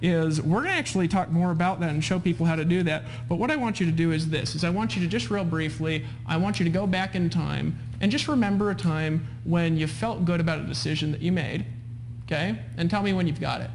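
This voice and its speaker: Fast high-pitched man, persuasive coach. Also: slight background noise. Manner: persuasive, repeats himself, checks in with questions. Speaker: host, sales coach. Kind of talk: live webinar sales pitch, talking with callers.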